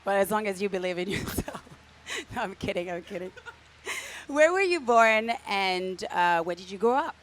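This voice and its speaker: A woman's voice: mid-range voice, animated, normal speed. A woman hosting a live interview event, welcoming the audience and stumbling over and repeating words as she goes. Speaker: host.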